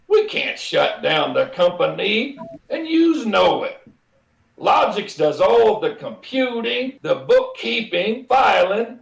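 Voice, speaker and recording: Blustery middle-aged male boss for radio comedy, man acting: high-pitched, swooping delivery, emphatic, roomy sound. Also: gravelly, exaggerated voice.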